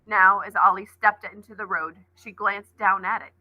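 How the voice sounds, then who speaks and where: A woman's voice: mid-range voice, steady tone, normal speed, sharp tone, dry recording, rough recording. A woman teacher reading a novel aloud expressively, adding brief asides.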